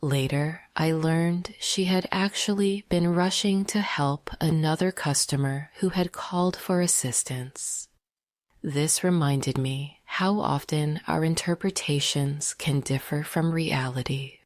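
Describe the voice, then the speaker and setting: A woman leading a guided meditation in a soft, half-whispered, gentle and soothing voice. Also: low-pitched, measured pace, dry recording, studio quality.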